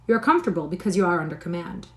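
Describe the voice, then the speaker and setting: A woman voicing a clever, proud young lady with a quick, mocking wit. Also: lowish voice, lively intonation, close to an everyday voice. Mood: teasing. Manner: brisk, mocking.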